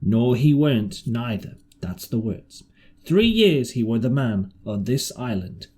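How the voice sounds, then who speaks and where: A man's voice: mid-range voice, sing-song, unhurried, a little mellow, breathy edge. A man voicing a shrewd, half-crazed marooned seaman in an audiobook, with rustic, ungrammatical, repetitive sailor talk. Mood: insistent, confidential. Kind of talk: audiobook character voice.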